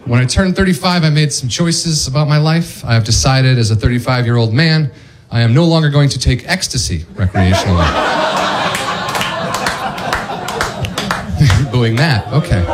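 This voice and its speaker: A man in his thirties doing stand-up on stage, self-mocking and acting out quick voices. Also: medium pitch, lively intonation. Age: 30s. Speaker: stand-up comedian. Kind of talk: stand-up comedy set. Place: comedy club stage before a live audience. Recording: live audience crowd noise.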